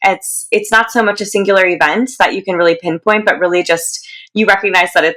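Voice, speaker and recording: Encouraging woman podcast co-host. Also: lowish voice. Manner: warm, encouraging, reading a formal introduction. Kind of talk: interview hosting.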